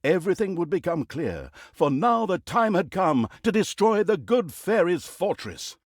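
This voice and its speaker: Sardonic fantasy game narrator: hugely animated storybook delivery, arch and mock-grand, on the higher side, quick to exasperation. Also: male voice, no room sound, clean studio sound. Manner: dramatic, tongue-in-cheek, arch delivery.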